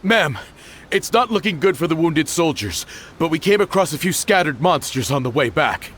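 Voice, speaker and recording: Dutiful soldier, higher-pitched voice. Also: male voice, lively intonation, normal speed, fairly bright tone, slight background noise. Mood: deferential, urgent. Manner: respectful, formal. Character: dutiful, deferential male soldier reporting to commander. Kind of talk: video game voice acting.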